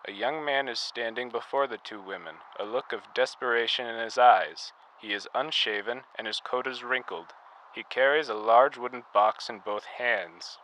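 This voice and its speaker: A man's voice: medium pitch, normal speed, pushed and bright, a little hiss or hum, natural voice, poor audio. A young man narrating a radio drama as a solemn storyteller. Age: young adult. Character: solemn male storyteller of a pandemic tale.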